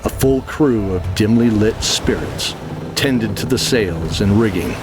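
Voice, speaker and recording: A man narrating a scripted fantasy audio drama as a measured, theatrical storyteller. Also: lowish voice, lively intonation, background noise. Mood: dramatic, measured. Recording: clear music bed underneath.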